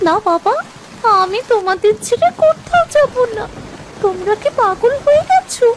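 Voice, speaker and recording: A small, distressed village girl for a cartoon. The childlike voice is extremely high and swoops as it protests. Clear noise sits behind it. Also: big pitch swings, normal speed. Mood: upset, defiant. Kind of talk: Bengali cartoon dialogue.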